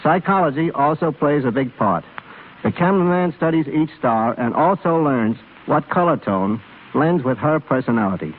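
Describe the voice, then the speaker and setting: A man's voice: fairly high pitch, lively intonation, moderate pace. A man speaking as a guest expert in a scripted 1930s radio interview, explaining things formally and with careful phrasing. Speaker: film cameraman guest. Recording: scratchy, tinny 1930s radio broadcast.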